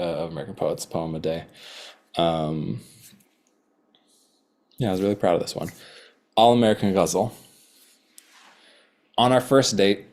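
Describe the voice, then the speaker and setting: Man, very low voice, poet at a reading, measured delivery, loose chatty asides. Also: darkish tone. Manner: hesitant and rambling between poems, with fillers; slow, measured reading of his poems.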